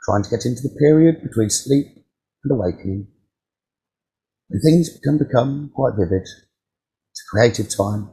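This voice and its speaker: Man, meditation teacher, medium pitch, soft mellow tone, calm soothing guidance, frequent pauses. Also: poor audio. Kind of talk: guided meditation.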